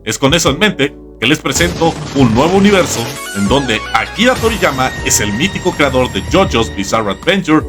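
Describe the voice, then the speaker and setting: Male video essay host, wry and theatrical, very lively intonation, faint music underneath. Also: medium pitch, normal speed, hardly any pauses. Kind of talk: scripted comedic video essay narration. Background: background music.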